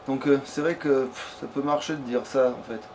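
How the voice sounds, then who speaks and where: A man's voice: medium pitch, fairly quick, darkish tone. A man doing casual let's play commentary, slangy and sweary, thinking aloud as he plays. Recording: noisy home gaming capture.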